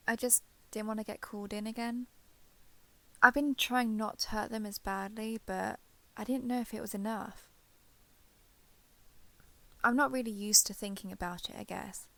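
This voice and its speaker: A woman's voice: medium pitch, vocal fry, pushed and bright. A woman playing a shy, guarded villain turned reluctant ally in a roleplay audio drama, speaking hesitantly and with reserve. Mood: guarded, uneasy. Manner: hesitant, halting, reserved, understated. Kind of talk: roleplay audio drama, intimate one-sided dialogue.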